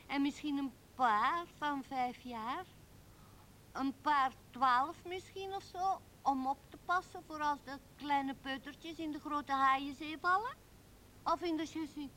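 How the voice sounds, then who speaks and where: A woman's voice: high voice, a bit bright, a little hiss or hum, heavily acted, poor audio. An actress voicing a small, earnest girl, indignant and fanciful, in a childlike piping manner for a radio play.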